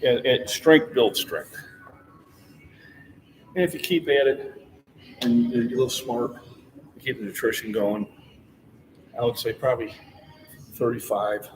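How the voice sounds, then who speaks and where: A man's voice: mid-range voice, unhurried, pauses often, noisy background. A man in his sixties hosting a casual fitness livestream, chatting with viewers in a friendly, encouraging coach's way. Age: 60s.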